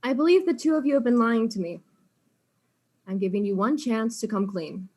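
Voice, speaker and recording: A woman's voice: a little high, animated, normal speed, dark tone, a little hiss or hum, natural voice. A woman playing a blunt, exasperated detective in a comic audio drama, dry, impatient and sarcastic. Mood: stern.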